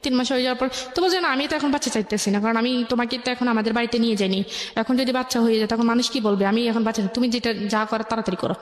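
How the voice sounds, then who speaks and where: A young adult woman with a Bangladeshi accent, telling her life story as an interview guest in a halting, rambling way. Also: a little high. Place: echoey indoor radio studio. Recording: echoey room with long reverb.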